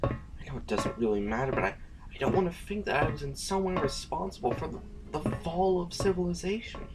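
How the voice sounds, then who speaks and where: A man's voice: a little high, fairly quick, noisy background, close to an everyday voice. A man playing an insecure, guilt-ridden noble in an audio drama, hesitant and easily exasperated. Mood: guilty.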